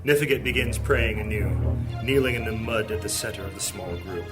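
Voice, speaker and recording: A man's voice: lowish voice, fairly even intonation, a little fast, over music. A man narrating a fantasy audio drama in a scripted, dramatic storytelling style.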